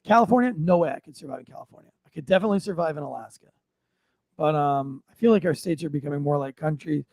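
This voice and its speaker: Guy hosting a podcast, slangy n irreverent, creaky voice, real dry sound. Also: fairly high pitch, fast talker, darkish tone, no room sound. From Florida. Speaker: podcast host. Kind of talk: casual news chat.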